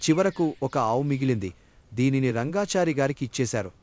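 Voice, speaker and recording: A man's voice: mid-range voice, animated, a little fast, darkish tone. A man narrating a scripted moral story for children as a storyteller.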